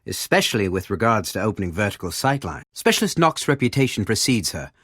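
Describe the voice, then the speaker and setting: A man playing a measured, articulate special-operations director in a video game, giving formal analytical assessments of operatives. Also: fairly low pitch, big pitch swings, a bit bright, breathy edge, no echo, clean studio sound, full character voice. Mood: composed, approving. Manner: formal, erudite, measured delivery. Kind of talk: scripted video game voice acting, analytical briefing.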